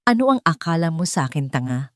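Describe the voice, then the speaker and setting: A woman's voice: low-pitched, sing-song, fast talker, no echo, clean studio sound. A woman voicing a scheming, arrogant, falsely sweet mother in an audio story. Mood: haughty, scornful. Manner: sharp and haughty, or sugary when pretending.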